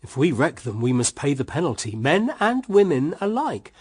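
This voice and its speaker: A man's voice: fairly high pitch, very animated, moderate pace, darkish tone, husky. An actor playing a stern, possessive, idealistic married man in a period radio drama, speaking in an emphatic, moralizing way. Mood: stern, judging.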